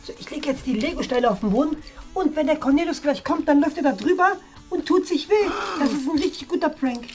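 A man's voice: extremely high pitch, fast talker, darkish tone, soft background music. A man playing a mischievous little boy in a children's comedy skit, childlike, eager and repeating himself. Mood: scheming, gleeful. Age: young adult. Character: mischievous, cheeky little boy, youngest son. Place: small furnished rooms indoors.

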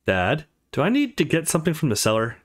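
Guy doing a playful college girl from a visual novel, confident, teasy, very animated. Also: a little high, fast talker, voice pushed up. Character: teasing young college woman, confident and playful.

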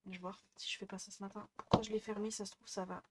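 A woman's voice: mid-range voice, little pitch movement, fast, heavy background noise. A woman in her thirties vlogging casually from her desk at home, rambling with lots of fillers and restarts. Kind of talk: casual chatty vlog. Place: bedroom desk, indoors. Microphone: headset mic.

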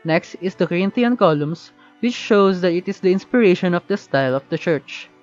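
A man's voice: high voice, animated, brisk. A young man narrating a scripted student presentation. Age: young adult. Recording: dull lo-fi recording under music. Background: background music.

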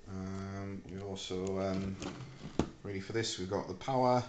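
A middle-aged British man casually demonstrating a homemade device in a hesitant, halting, unscripted way. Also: low voice, steady tone. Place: small hard-walled room indoors. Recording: echoey room, clicks and faint hiss.

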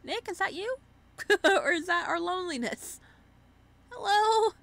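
A woman giving casual let's-play commentary and reading game text aloud, with an excitable, reactive manner. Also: extremely high pitch, measured pace, creaky. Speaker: gaming streamer.

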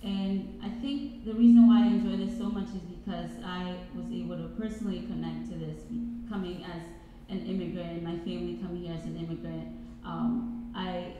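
A woman's voice: on the low side, steady tone, normal speed, warm tone, slight background noise. Young woman, Swahili native, echoey hall. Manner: hesitant, many fillers. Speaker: student panelist. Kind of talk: panel presentation. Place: large reverberant college hall. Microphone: handheld mic. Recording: echoey hall, music behind.

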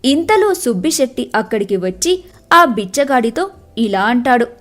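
A woman's voice: a little high, big pitch swings, normal speed, faint music behind. A woman narrating a moral story from a script, in the manner of a storyteller.

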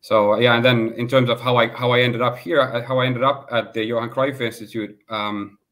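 A man in his thirties from Finland, a guest on a video call interview, speaking in a measured way with filler pauses. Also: medium pitch, flat delivery, a bit bright. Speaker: guest, sports consultant. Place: home room, indoors. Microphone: laptop webcam on video call.

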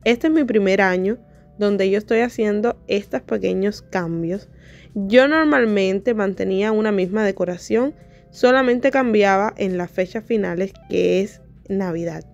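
Relaxed young woman talking through her decor vlog, mid pitch, easygoing fillers. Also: measured pace, gravelly, lo-fi sound. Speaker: home decor vlogger. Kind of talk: casual voice-over vlog narration. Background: soft background music.

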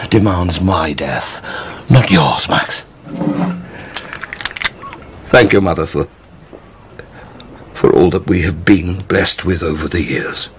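A man's voice: deep voice, a little hiss or hum, full character voice. An old merchant father, stammering. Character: elderly merchant father, ruined then overjoyed. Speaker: actor. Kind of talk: radio drama acting. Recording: music under the voices.

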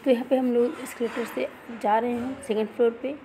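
A woman, around her thirties, chats through a casual vlog voice-over. Her voice sits fairly high but is very soft-edged and mellow. The melody stays quite flat as she speaks directly to viewers, often circling back over the same point. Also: brisk, slight background noise. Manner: chatty, repetitive, addresses viewers directly. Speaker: vlogger.

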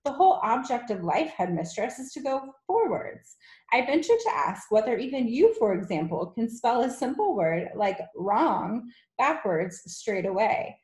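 A woman's voice: a little high, big pitch swings, unhurried, darkish tone, pitched above the performer's own voice. Lady doing a nice polite teacher in a kids audiobook, earnest but stands her ground, roomy echo. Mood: indignant, firm. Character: gentle but principled female schoolteacher, quietly firm. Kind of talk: children's audiobook character voice.

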